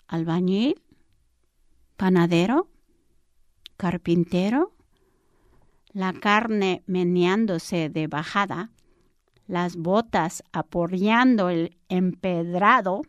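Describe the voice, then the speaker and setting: Woman in her sixties, poet reading aloud, unhurried, dry close sound. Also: on the low side, a little mellow, no room sound. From Chicago, USA. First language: English. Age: 60s. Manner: slow, deliberate poetry reading. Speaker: poet guest.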